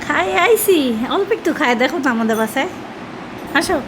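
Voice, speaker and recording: High-pitched chatty Bangladeshi woman. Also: expressive, fairly quick. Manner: chatty, coaxing, asks many questions. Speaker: family vlogger, host. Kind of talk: casual home vlog chat with relatives and children. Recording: clattering household noise behind the voice.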